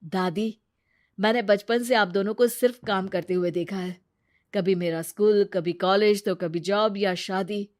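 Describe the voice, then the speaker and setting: A woman's voice: medium pitch, steady tone, measured pace, darkish tone, voice pushed down, lightly acted. A woman voicing an earnest, devoted young grandson in a family story, with a tender manner.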